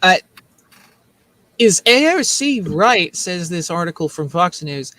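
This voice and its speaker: A man's voice: sits high, very animated, slow, bright, forceful tone, dry recording. A man hosting a political commentary show in a casual, joking way, rambling and repeating himself as he mocks. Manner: rambling, repetitive, mocking.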